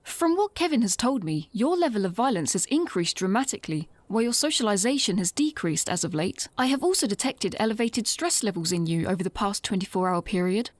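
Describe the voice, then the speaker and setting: A woman's voice: medium pitch, animated, brisk, few pauses, dry recording, natural voice. A woman voicing a formal, obedient female robot companion who calls the others Master. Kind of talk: fan audio drama acting.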